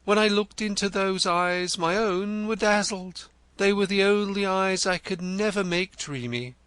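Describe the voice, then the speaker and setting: A man's voice: high-pitched, measured pace, a bit bright, clear, resonant tone, no room sound, voice pushed up. A man voicing a vain, theatrical male poet in an audiobook, florid and gushing, stammering when pressed. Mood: effusive. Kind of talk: audiobook character reading.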